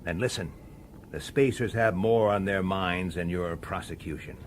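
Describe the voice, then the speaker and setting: An actor playing a weary, dogged middle-aged police detective in a science fiction radio drama, pressing and intense. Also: male voice, deep voice, moderate pace, a little hiss or hum, natural voice. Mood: earnest, urgent. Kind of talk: radio drama acting with first-person narration.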